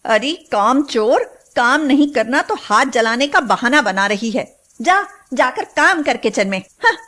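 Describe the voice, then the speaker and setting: A woman voicing a cruel, domineering mother-in-law in a cartoon story, nagging and sarcastic with drawn-out calls. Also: high voice, animated, a bit bright, exaggerated voice. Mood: sneering, bossy. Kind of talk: cartoon moral-story voice acting.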